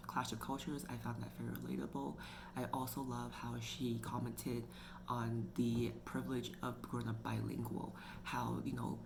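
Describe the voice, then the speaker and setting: A woman talks through a book review in a loose, chatty way, full of "like" and "you know." Her voice has a gravelly, creaky edge, and there's a clear layer of noise behind her. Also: a bass voice. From Canada. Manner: chatty, filler-heavy with frequent "like" and "you know". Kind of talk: casual book review talk. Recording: noticeable background noise.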